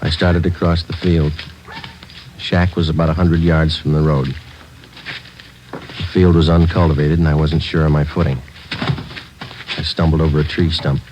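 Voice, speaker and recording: An actor playing a stoic police detective who talks in flat, clipped, deadpan lines, in 1940s radio drama style. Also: male voice, a bass voice, normal speed, pauses often, background noise. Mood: flat narration. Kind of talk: 1940s radio acting with first-person narration.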